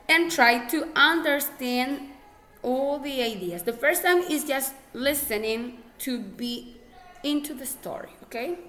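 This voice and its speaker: A woman's voice: fairly high pitch, a bit bright, a little hiss or hum. Patient Ecuadorian English teacher, woman. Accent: Ecuadorian Spanish. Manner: patient, repeats herself, checks with "okay?". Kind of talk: classroom instruction.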